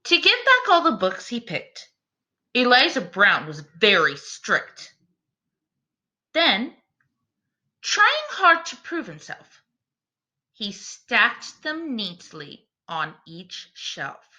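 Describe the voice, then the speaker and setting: Slow, lively woman reading to children. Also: on the high side. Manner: encouraging, child-directed. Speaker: teacher reading a picture book aloud. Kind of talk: expressive children's read-aloud with questions.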